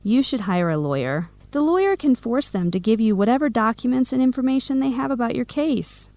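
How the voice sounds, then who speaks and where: A woman's voice: fairly high pitch, expressive, a little fast, warm tone, dry recording. An actress playing a practical, frank young woman friend in a radio drama. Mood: firm, advising. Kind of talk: radio drama acting for English learners.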